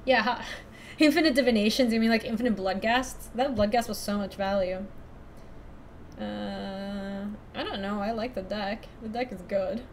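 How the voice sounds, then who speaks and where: A young woman from Ecuador chatting casually through a card game stream, thinking out loud and laughing often. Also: medium pitch, normal speed, slight background noise. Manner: chatty, giggly, thinks aloud to chat. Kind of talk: casual live-stream commentary.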